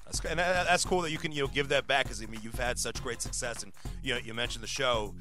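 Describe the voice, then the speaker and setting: A man hosting a relaxed interview, asking questions and dropping in easy agreement. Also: medium pitch, soft background music. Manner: easygoing, quick agreeing interjections. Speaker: podcast host, interviewer. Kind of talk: casual interview chat.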